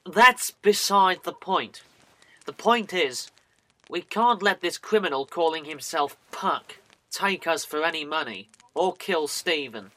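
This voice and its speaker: A man's voice: a little high, lively intonation, slow pace, bright, forceful tone, heavily acted. A man playing a jealous, sardonic young nobleman in an audio drama, formal and dryly sarcastic. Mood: insistent.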